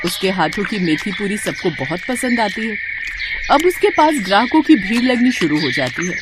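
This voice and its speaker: A woman narrating a scripted moral tale for animation in an expressive storytelling manner. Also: medium pitch, normal speed, very noisy.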